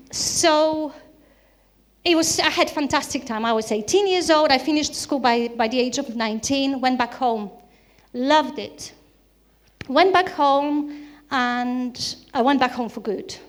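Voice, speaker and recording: A woman from Bulgaria, a native Bulgarian speaker with a Bulgarian accent, preaching a sermon in an earnest style with repeated emphatic phrases. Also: high voice.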